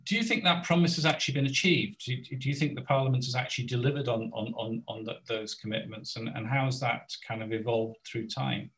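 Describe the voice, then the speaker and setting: A man's voice: medium pitch, fairly even intonation, fairly bright tone, rough recording. Older man interviewing over a video call. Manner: halting, repeats words.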